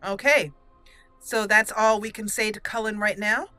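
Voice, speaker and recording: A woman hosting a let's play with a bright, clear-cut voice. She comments casually on the game, with music playing behind her. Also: mid-range voice, steady tone, moderate pace, dry recording. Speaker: gaming video host.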